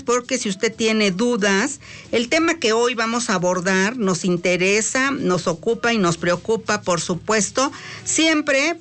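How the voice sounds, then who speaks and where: A woman from Mexico with a Mexican accent hosts a talk radio program. She runs the show in a chatty way, drawing out words and filling gaps with "eh". Her voice sits at an ordinary, middle pitch for a woman. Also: fairly bright tone. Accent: Mexican Spanish. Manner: chatty, drawn-out words, frequent "eh" fillers. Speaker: radio host.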